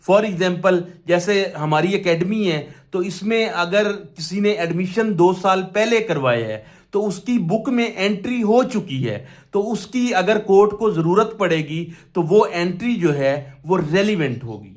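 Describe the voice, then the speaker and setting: A man with a Pakistani accent giving an explanatory law lecture, methodically reading out clauses, repeating key phrases and answering his own questions. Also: sits high. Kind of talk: explanatory lesson, reading statute clauses aloud and explaining them.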